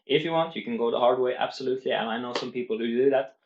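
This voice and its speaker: A man's voice: medium pitch, rapid-fire, echoey room, muffled recording, a little hiss or hum. A man vlogging about language learning, speaking unscripted to the camera in an earnest way and explaining step by step, with many restarts.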